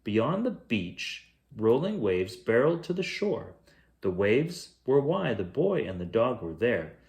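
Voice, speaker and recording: A man reads a children's bedtime story aloud. His voice sits at an average male pitch and moves up and down with lots of animation. He takes the phrases in a measured way, leaning expressively on key words. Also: big pitch swings, a little mellow. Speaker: bedtime story reader, host. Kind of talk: reading a children's picture book aloud.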